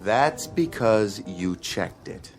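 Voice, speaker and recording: An actor playing a gruff, sarcastic bus depot baggage clerk in a radio drama, curt and impatient. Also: male voice, lowish voice, expressive, takes it slowly, soft background music. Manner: curt, sardonic. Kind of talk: dramatic radio play acting.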